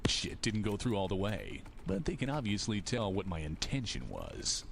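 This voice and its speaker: Gravelly, sardonic game antihero. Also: male voice, on the low side, huge pitch swings, moderate pace, slight background noise, heavily acted. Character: crude, sardonic everyman video game protagonist.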